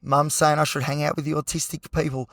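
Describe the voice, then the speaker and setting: An Australian man hosting a solo podcast with a hoarse, croaky voice, talking passionately and rambling with lots of fillers. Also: fairly high pitch, level tone, a little fast, a bit bright, no room sound, studio quality. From Newcastle, New South Wales, Australia. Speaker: podcast host.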